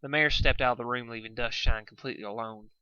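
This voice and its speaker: A man's voice: mid-range voice, fairly even intonation, fast, pushed and bright, smooth, dry recording, highs cut off. A man reading fan fiction aloud as an amateur narrator, stumbling over and repeating words now and then.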